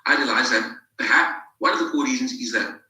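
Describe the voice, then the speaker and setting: A man's voice: medium pitch, fairly quick, pushed and bright, roomy sound, recorded from a distance, poor audio. A man in his thirties with a South African accent, telling his own story as a podcast guest, reflective and restarting his phrases. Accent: South African English. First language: English, bilingual with Afrikaans. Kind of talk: personal storytelling in an interview.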